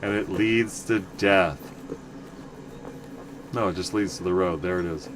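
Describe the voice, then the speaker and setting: A man casually commenting on his game for viewers, rambling and correcting himself as he goes, with a loud voice. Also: low voice, a little slow, background noise. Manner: rambling, self-correcting, chatty asides to viewers. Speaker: livestream game player.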